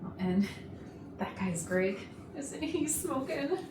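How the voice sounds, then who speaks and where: A woman's voice: high voice, lively intonation, slow pace. A woman playing a gossipy, catty, flirtatious office worker who confides suggestively to the audience in a comedic stage play. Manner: chatty, suggestive, conspiratorial. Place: indoor rehearsal room. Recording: roomy rehearsal, distant mic, noisy background.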